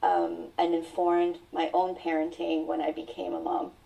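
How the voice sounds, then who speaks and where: Earnest woman, low mellow distant voice. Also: monotone, creaky. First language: Mandarin. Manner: earnest, reflective, drawn-out phrasing. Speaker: podcast guest. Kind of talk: personal storytelling in an interview. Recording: voice sounds distant from the mic.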